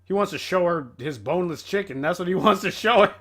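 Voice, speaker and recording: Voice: medium pitch, expressive, quick pace, a bit bright, faint music behind. Exclamatory, slangy reaction host. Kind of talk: casual reaction commentary.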